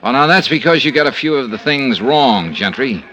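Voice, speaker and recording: An American actor playing a dogged, wry insurance investigator with a conscience in a 1950s radio detective drama. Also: male voice, medium pitch, lively intonation, normal speed, bright, forceful tone. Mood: pressing. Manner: wry, insistent, hard-boiled delivery. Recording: noisy old radio drama recording.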